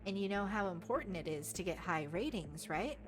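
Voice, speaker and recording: A woman playing a smug, controlling host of a reality dating show in a game, with a brisk, showy manner. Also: mid-range voice, expressive, music in the background, close to an everyday voice.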